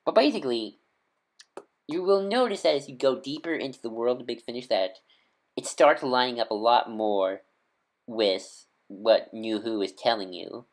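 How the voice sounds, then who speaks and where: A man's voice: mid-range voice, lively intonation, normal speed. A man talking casually as a video host, an enthusiastic fan who rambles with lots of ums and corrects himself mid-sentence.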